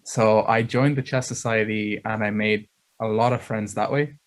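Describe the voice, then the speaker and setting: A young man speaking as a student panelist over a video call, relaxed and hesitant with many fillers. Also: lowish voice, normal speed. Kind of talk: casual webinar chat.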